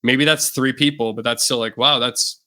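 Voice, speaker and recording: A man's voice: medium pitch, moderate pace. A young man, a musician guest on a podcast, chatting casually and loosely with lots of fillers. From Canada. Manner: relaxed, rambling with many fillers. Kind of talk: casual interview chat.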